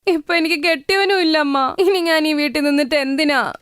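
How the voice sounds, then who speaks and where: A woman's voice: high-pitched, brisk, fairly bright tone, no echo, studio quality, voice pushed up. A woman voicing a young newlywed bride who pleads and despairs. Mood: distraught. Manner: pleading, tearful.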